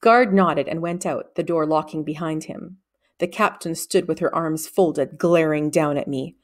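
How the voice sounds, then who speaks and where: A woman reading a storybook aloud in expressive narration. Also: deep voice, a little slow. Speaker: audiobook reader.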